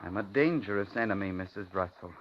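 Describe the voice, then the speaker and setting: A man's voice: deep voice, fast talker, lightly acted. An actor playing a paranoid, coldly polite madman who taunts and menaces his captive, in 1950s radio thriller style. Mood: resentful, paranoid. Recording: muffled, noisy 1950s radio recording.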